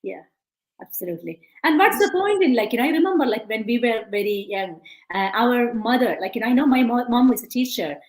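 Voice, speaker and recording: A woman's voice: a little high, animated, fairly quick, darkish tone. A woman hosting a live-stream interview over a video call, chatty and full of "like" and "you know". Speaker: host. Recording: roomy echo.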